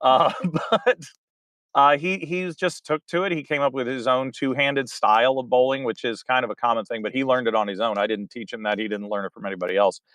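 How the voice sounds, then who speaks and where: Middle-aged male director as guest, talks loosely with lots of "uh", mid pitch, no room sound. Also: fairly even intonation, a little fast, a bit bright, no echo. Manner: chatty, rambling with fillers and restarts. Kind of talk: casual interview answers.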